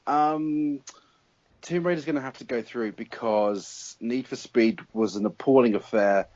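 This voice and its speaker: A man's voice: medium pitch, a little slow, darkish tone, dry recording. A man guesting as a film blogger on a casual panel, giving wry, opinionated verdicts. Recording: dull lo-fi sound, dry.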